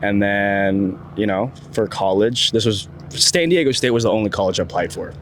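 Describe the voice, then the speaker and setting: Young college man from the Philippines, low-ish voice, relaxed podcast guest full of slang. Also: moderate pace, slight background noise. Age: young adult. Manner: laid-back, slangy, says dude and bro a lot. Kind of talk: casual chat.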